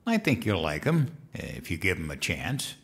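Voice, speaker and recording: Man acting a naive, eager, childlike president-elect, swooping pitch. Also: huge pitch swings, normal speed, gravelly, a bit bright, heavily acted. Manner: guileless, simple-minded, earnest talk. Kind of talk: comedic audio drama acting.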